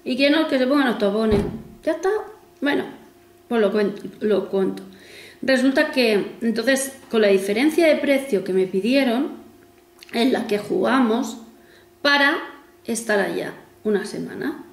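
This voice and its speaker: A woman's voice: a little high, lively intonation, a little slow. A woman, chatting casually to camera in a rambling way with self-mocking asides. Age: 40s. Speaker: vlogger. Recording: echoey room with faint music.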